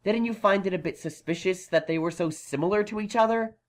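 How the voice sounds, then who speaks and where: A woman playing a brainy, exasperated young male unicorn magician in a fan audio drama, questioning in a measured way. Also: medium pitch, natural voice. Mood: exasperated probing.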